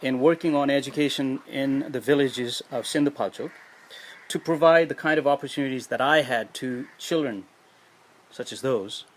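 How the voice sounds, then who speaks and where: A man from Nepal with a Nepali accent and a husky voice, giving an earnest motivational speech with emphatic, deliberate phrasing and pauses. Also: medium pitch, poor audio. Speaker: education activist, motivational speaker.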